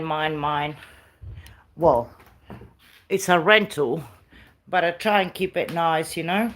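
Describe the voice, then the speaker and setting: Middle-aged woman, South American origin, relaxed home streamer; unhurried and chatty, phone mic indoors. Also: medium pitch. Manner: chatty, talks sweetly to her dog. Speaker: live streamer. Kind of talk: casual home live stream tour. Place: rented house, indoors.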